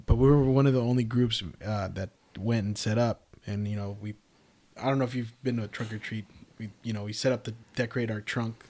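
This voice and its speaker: A man co-hosting a podcast in casual chat, blunt and sweary, halting and repeating himself. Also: on the low side, fairly even intonation, a little mellow. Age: adult. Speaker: podcast co-host.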